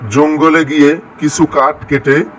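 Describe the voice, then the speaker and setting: A man's voice: fairly high pitch, flat delivery, measured pace, a bit bright, a little hiss or hum. A man playing a poor, hardworking, resigned village husband in a cartoon fairy tale. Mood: dutiful.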